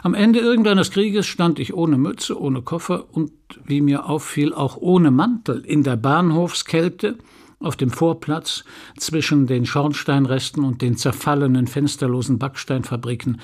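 A man reading literary first-person prose with measured, deliberate phrasing. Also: fairly high pitch, darkish tone.

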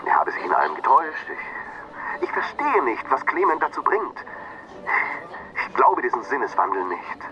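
A man's voice: mid-range voice, wildly swooping pitch, normal speed, pauses often, sharp tone. An actor playing a troubled, earnest man on the telephone in a radio drama, speaking hesitantly through a muffled line. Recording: dull, distant telephone-line sound.

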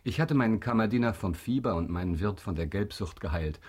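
Radio drama lead: calculating aristocrat, low husky voice, courteous, deliberate, quietly insinuating. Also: male voice, lively intonation. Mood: probing, polite. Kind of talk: German radio drama acting.

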